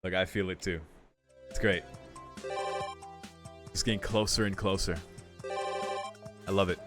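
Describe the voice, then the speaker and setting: A man's voice: low-pitched, fairly even intonation, measured pace, loud music behind the voice. A man casually reading and reacting to a visual novel as a let's play streamer, emotive and chatty. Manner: emotive, reactive, laughing asides. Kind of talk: casual game commentary and reading aloud.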